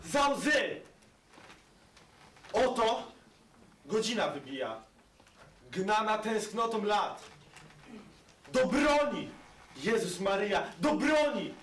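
A teenage boy on a school stage playing a fiery young insurgent who shouts rousing calls to arms. The recording is echoey and distant. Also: high voice, very animated, takes it slowly, long pauses, a little hiss or hum, full character voice. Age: teens. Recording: echoey school hall, far camera.

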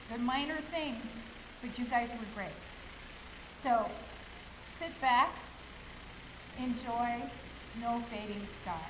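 A middle-aged woman, a director, giving a nervous, halting informal introduction from a stage. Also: on the high side. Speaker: play director introducing show. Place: school auditorium stage, far camera. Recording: echoey auditorium with hiss.

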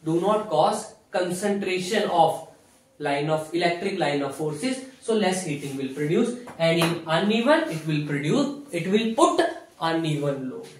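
A man's voice: fairly high pitch, animated, unhurried, slight background noise. A young man with an Indian accent teaching at a whiteboard as a lecturer, repeating himself and answering his own questions, recorded from a distance in a small room. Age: young adult. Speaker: physiotherapy lecturer. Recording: echoey room, distant mic.

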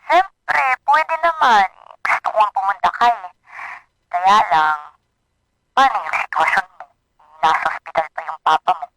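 A woman's voice: mid-range voice, animated, a little slow, pauses often, sharp tone, rough recording. An actress playing a friendly, caring young woman in a radio drama, heard through a telephone filter. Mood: pleased, concerned. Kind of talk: Filipino radio drama acting.

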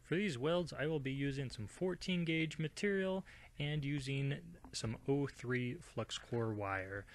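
A man's voice: medium pitch, measured pace, slight background noise. A man narrating a welding machine review and tutorial in an informal, conversational way, as a voice-over. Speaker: DIY product reviewer.

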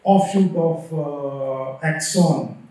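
A middle-aged man with an Indian English accent giving a conference keynote from a podium, emphatic and slowing down to stress figures. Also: on the high side, mellow. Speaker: keynote speaker. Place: large auditorium stage. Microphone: podium microphone, heard from far. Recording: echoey hall from far off.

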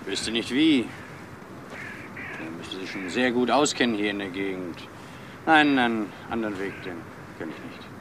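An actor playing a guarded, plain-spoken country innkeeper in a crime radio play. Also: male voice, fairly low pitch, expressive, normal speed, pauses often, close to an everyday voice. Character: guarded local male innkeeper who knows the moor. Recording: noisy outdoor ambience with birds.

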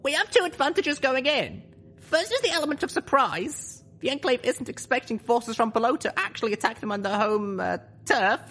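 Earnest, high-pitched young pony mare. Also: animated, moderate pace, sharp tone, breathy edge, exaggerated voice. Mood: resolute. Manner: solemn, urgent speech-making. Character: earnest young female pony leader, pleading, determined.

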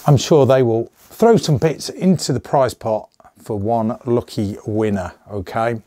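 A middle-aged man with a slightly hoarse voice, hosting a casual channel update and rambling with jokey asides. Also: mid-range voice, unhurried, dark tone, no room sound. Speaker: channel host. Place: small indoor gun room.

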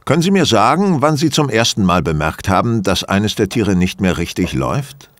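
An actor playing a shrewd, courteous, self-assured detective in a German audio drama, speaking theatrically and deliberately. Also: male voice, fairly low pitch, very animated, no room sound. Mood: probing, brisk.

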